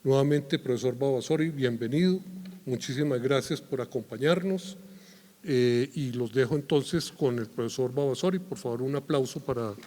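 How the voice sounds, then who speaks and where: A man, a university host formally welcoming a guest speaker, pausing on fillers. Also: medium pitch, dark tone, slight background noise. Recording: reverberant lecture hall.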